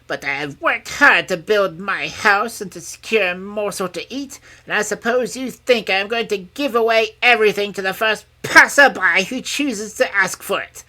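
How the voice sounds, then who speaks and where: A woman's voice: medium pitch, moderate pace, hardly any pauses, pushed and bright, pitched above the performer's own voice, lightly acted. A woman voicing a mean, grasping little old crone in a storybook, grumbling and mocking as she haggles. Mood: scornful, grumbling. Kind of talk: storybook character voice.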